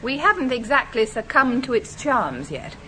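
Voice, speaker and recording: An actress playing a jealous, sharp-tongued young bride with a sarcastic manner, in a radio drama with faint hiss. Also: female voice, a little high, very animated, moderate pace, rough recording. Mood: cool.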